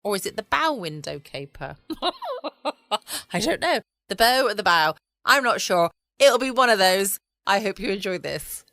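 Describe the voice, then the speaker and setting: A woman with an English accent hosting a podcast, chatting casually in a relaxed, rambling bedtime style. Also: mid-range voice, normal speed, no echo, clean studio sound. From United Kingdom. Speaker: podcast host. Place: at home, dry close recording.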